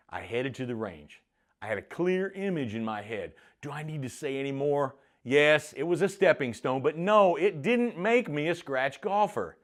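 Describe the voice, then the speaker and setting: A middle-aged man giving a motivational monologue as a leadership coach, speaking in a punchy, emphatic, challenging way. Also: on the high side. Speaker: leadership coach and show host.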